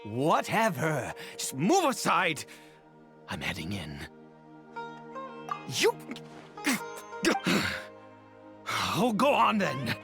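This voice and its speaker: A man's voice: on the high side, huge pitch swings, extremely slow, vocal fry, long pauses, over music, heavily acted. A man voicing a guarded, haughty, secretive man in a video game, with an imperious, curt and theatrical delivery. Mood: grudging. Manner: imperious, curt, dramatic, clipped.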